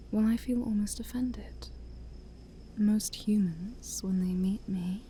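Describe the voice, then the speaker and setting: Ancient forest demon princess, played by a woman: haughty and sly, mellow near-whisper, unhurried ASMR roleplay delivery. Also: mid-range voice, slight background noise, lightly acted. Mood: haughty, piqued. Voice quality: breathy, often near-whispered. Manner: slow, teasing, haughty, theatrical. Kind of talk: soft-spoken ASMR roleplay.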